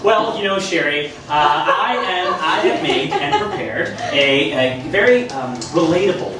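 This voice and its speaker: A young man playing an earnest, pretentious millennial amateur chef in live sketch comedy, speaking in a halting, self-important way in a theater. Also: a little high, very animated. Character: earnest pretentious twenty-something male amateur chef, storyteller. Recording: echoey theater, distant mic, room noise.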